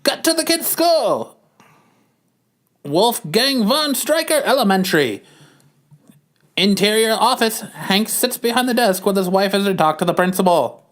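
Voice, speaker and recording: A man's voice: high voice, animated, a little slow, pauses often, fairly bright tone. A man narrating stage directions aloud for an amateur comic reading on a podcast.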